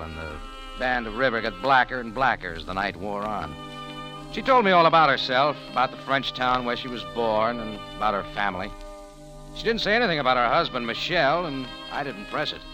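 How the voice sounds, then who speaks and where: Male actor as a tough wry American sleuth, American accent, clipped hardboiled lines, punchy varied pitch, old radio drama with music. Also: close to an everyday voice, rough recording. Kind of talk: 1940s radio drama acting and first-person narration. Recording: vintage radio broadcast with music.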